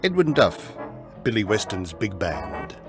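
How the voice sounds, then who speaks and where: A man's voice: lowish voice, wildly swooping pitch, a little slow. A man presenting a music programme as a radio host.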